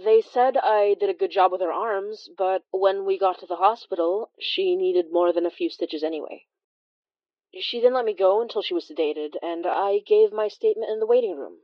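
A woman playing a wry, observant immigrant healer who narrates a horror audio drama in a confiding, measured way with dramatic pauses. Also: mid-range voice, dry recording, lo-fi sound, natural voice. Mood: recounting, matter-of-fact.

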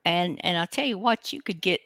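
A woman with a croaky voice, co-hosting a casual podcast in a playful, self-mocking way. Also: on the low side, animated, a little fast, a bit bright, dry recording. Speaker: co-host.

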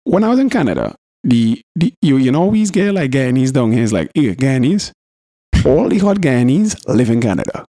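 A man with a Trinidadian accent co-hosting a podcast, bantering in casual slang and telling stories in an animated, coarse, sweary way. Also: mid-range voice, fairly quick, no echo, studio quality. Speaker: podcast co-host.

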